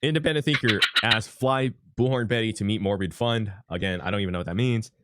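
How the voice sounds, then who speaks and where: A man's voice: on the low side, fast talker, heavy background noise. A man hosting a casual live stream, reading out viewer questions in an offhand, noncommittal way with some swearing.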